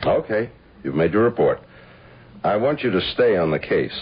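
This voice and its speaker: A man's voice: low-pitched, big pitch swings, normal speed. An actor playing a blunt man who takes charge, in a radio mystery drama. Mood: commanding. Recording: dull, slightly noisy old radio broadcast.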